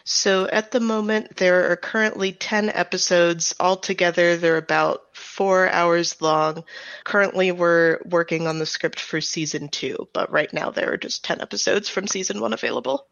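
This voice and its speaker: A woman's voice: fairly low pitch, fairly even intonation, moderate pace, vocal fry, fairly bright tone, dry recording. A woman chatting casually as an interview guest, on a slightly dull, lo-fi recording. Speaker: interview guest, audio drama writer-director.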